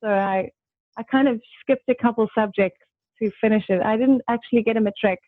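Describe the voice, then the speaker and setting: A young South African woman chats as a guest over a video call, laughing often and filling pauses with "um." Her voice sits on the higher side. The sound is dry and thin, like a phone line. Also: no room sound. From South Africa, Durban. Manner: chatty, laughs often, many fillers. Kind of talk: casual video-call chat. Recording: dry tinny call audio.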